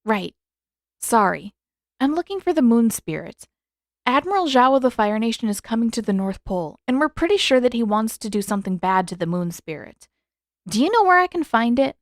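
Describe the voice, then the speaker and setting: A woman's voice: a little high, big pitch swings, brisk, no room sound, studio quality, voice pushed up. A woman voicing an earnest, anxious young boy hero who speaks in an eager, worried rush.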